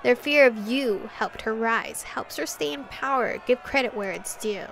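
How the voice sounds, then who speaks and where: A woman voicing an aloof, sardonic male vampire with a measured, formal, mocking manner, read from a video game. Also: a little high, gravelly, fairly bright tone, rough recording. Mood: mock thoughtful.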